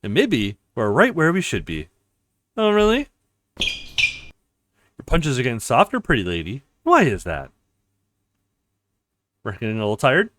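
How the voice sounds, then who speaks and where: A man's voice: mid-range voice, huge pitch swings, unhurried, pauses often, a bit bright. A man voicing a cocky, taunting young male fighter from a visual novel, with a swaggering delivery. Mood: cocky teasing.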